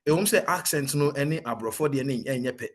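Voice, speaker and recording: A young Ghanaian soldier with a noticeably husky voice and a Ghanaian accent. He talks in an earnest, animated way, restarting his sentences often. His pitch sits in an ordinary middle range for a man. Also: fast talker. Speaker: serving soldier. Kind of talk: conversational interview, mixing Twi and English.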